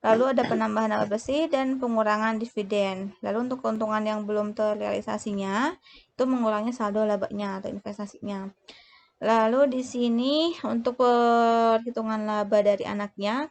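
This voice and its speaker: A woman explaining worked examples as a lecturer, in a halting way, often breaking off to correct herself. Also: medium pitch. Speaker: accounting lecturer.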